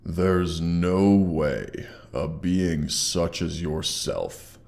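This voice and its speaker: A man voicing a haughty, menacing dragon guarding his hoard in a scripted audio drama, with theatrical pauses and monster effects on the voice. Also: low voice, lively intonation, creaky, darkish tone, full character voice. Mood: smug, doubting. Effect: monster voice processing.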